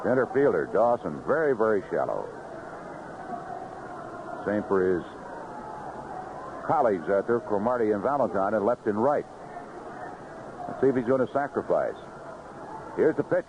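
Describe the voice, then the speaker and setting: Male baseball announcer, live play-by-play, very lively intonation, dull and noisy old radio sound. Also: fairly low pitch, moderate pace, pauses often. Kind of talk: live play-by-play sports commentary. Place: outdoor ballpark broadcast booth. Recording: noisy muffled vintage 1970s radio broadcast.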